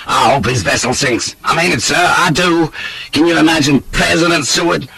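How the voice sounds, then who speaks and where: A man voicing a lecherous, boastful old gentleman from a storybook, with a coarse, blustering drawl. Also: on the high side, moderate pace, creaky, breathy edge, pitched above the performer's own voice, heavily acted.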